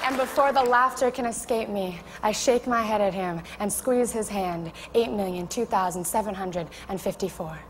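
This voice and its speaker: Young woman doing a gentle spoken word poem, faint hiss, lots of reverb. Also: mid-range voice, a crowd in the background. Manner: tender, measured storytelling cadence. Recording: echoey hall with faint noise.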